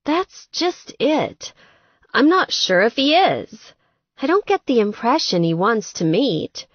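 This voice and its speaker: A woman's voice: a little high, very animated, slow pace, slightly rough, no echo, voice pushed up, full character voice. Plaintive young woman, acted by a female narrator, sweet, exasperated, swooping intonation, audiobook dialogue, slightly dull dry recording. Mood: troubled.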